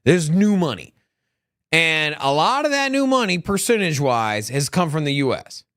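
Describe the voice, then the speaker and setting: A man's voice: a little high, moderate pace, clean tone, no room sound, studio quality. A man co-hosting a crypto news live stream. He talks casually, with lots of restarts and rambling asides. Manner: animated, rambling, many restarts. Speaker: co-host of a crypto news show.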